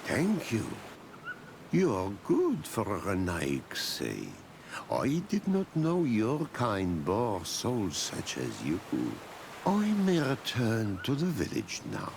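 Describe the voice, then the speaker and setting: An actor playing a despairing, proud blind herb gatherer in a video game cutscene, speaking in a halting, solemn way. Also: male voice, lowish voice, wildly swooping pitch, creaky, background noise, heavily acted. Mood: grateful.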